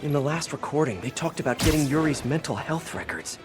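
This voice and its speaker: High-voiced earnest young male superhero. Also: lively intonation, brisk. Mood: concerned. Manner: earnest, worried, musing aloud. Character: earnest, curious young male superhero detective thinking aloud. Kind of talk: video game voice acting, investigative monologue. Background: music.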